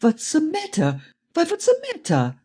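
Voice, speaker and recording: Man putting on an excitable, high-pitched old German man. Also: moderate pace, full character voice. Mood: alarmed. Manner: stammering, spluttering, agitated. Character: anxious, excitable old German traveller, poor and shy. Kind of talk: audiobook character voice.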